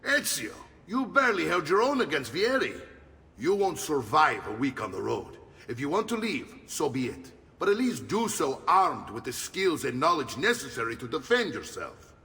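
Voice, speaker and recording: An actor playing a gruff, jovial middle-aged Italian mercenary uncle in a video game, expansive and avuncular, with an Italian accent. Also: male voice, a little high, sing-song, moderate pace, pushed and bright, recorded from a distance, full character voice. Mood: firm, instructive.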